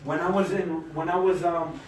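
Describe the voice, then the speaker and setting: A man with a high voice interprets a sermon phrase by phrase, sometimes stumbling and restarting. He sounds far from the mic, and the room around him rings with heavy echo. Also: level tone, a little slow, background noise. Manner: follows the preacher phrase by phrase, with some stumbles and restarts. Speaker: church interpreter. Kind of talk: live consecutive interpreting of a sermon. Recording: very echoey room, voice from a distance.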